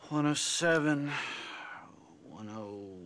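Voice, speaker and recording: A man's voice: a little high, expressive, slow, fairly bright tone. An actor playing a plodding, indifferent office clerk in a video game, droning and absorbed in his task. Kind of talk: dramatic video game cutscene acting.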